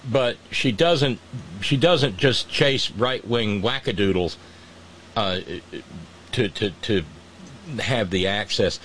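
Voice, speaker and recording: A man's voice: lowish voice, slow pace, a bit bright, a little hiss or hum, rough recording. A man hosting a casual political chat, sarcastic and mocking, often restarting his sentences. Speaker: podcast host.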